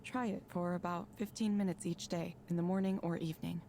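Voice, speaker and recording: A woman playing a calm, polite counselor in a video game, speaking scripted advice in a measured, neutral way. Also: fairly low pitch, a little hiss or hum, natural voice. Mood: calm, instructive.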